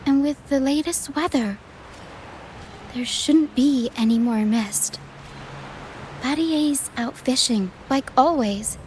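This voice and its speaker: High-pitched, earnest game girl. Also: takes it slowly, pauses often, a little mellow, slight background noise, rough recording. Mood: musing. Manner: earnest, musing. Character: hopeful, earnest young girl, whimsical and determined. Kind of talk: video game voice acting. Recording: music behind the voice. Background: music.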